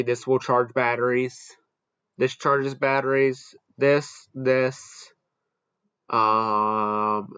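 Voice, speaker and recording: A man's voice: medium pitch, fairly bright tone, smooth, dry recording, dull audio. A man giving casual, off-the-cuff commentary as he hosts a product ranking. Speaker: host ranking weather radios.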